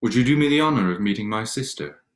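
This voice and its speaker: A man playing a reserved young gentleman in a period drama reading, stiffly courteous. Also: medium pitch, lively intonation, fast, lightly acted. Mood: awkward courtesy.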